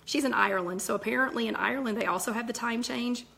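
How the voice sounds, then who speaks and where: A middle-aged woman, her voice raspy and nearly gone, hosting a live chat and greeting viewers by name between thoughts. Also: fairly high pitch, fast talker, bright, forceful tone, a little hiss or hum. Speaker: host of a live weight-loss chat.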